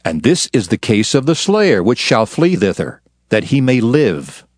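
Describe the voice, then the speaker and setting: A man's voice: medium pitch, sing-song, a little slow, no echo, clean studio sound. A man narrating dramatized scripture in a solemn, declamatory manner.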